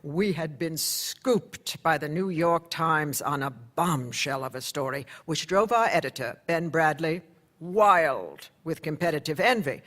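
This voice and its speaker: A man's voice: fairly high pitch, expressive, fairly bright tone. Man narrating a newsroom memory. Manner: measured, conversational storytelling. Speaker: narrator, newspaper insider. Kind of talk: dramatic first-person narration.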